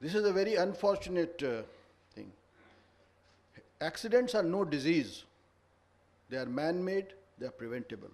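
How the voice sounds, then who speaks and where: A man's voice: a little high, big pitch swings, moderate pace, pauses often, darkish tone. A man giving a formal address at a conference, earnest and lecturing, stressing each point. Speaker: conference speaker. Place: conference hall.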